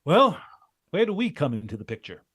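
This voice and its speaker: A man playing a shrewd, businesslike adventurer who leads his partners in a vintage-style radio drama, questioning briskly and wryly. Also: fairly high pitch, very animated, a bit bright, husky, no room sound, studio quality, heavily acted. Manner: brisk, probing, wry questioning.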